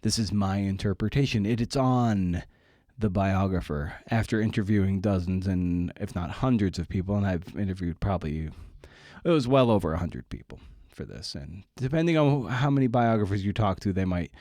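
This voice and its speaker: A man's voice: low voice, lively intonation, normal speed, a little mellow, dry recording, clean studio sound. A man hosting a podcast, talking informally in a candid, self-deprecating way. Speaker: podcast host.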